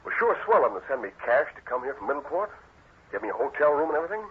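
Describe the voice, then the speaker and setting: A man's voice: mid-range voice, very animated, very fast, husky. A man playing a small, eager, naive paroled safecracker in an old radio drama, slangy and deferential. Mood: eager, trusting. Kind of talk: 1940s radio acting. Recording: tinny, hissy old radio recording.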